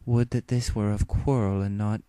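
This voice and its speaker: A man's voice: on the low side, fairly even intonation, moderate pace, warm tone, clean tone, no echo, close to an everyday voice. A man voicing a brooding female pony princess of the night in a storybook, with grave, haughty, archaic diction.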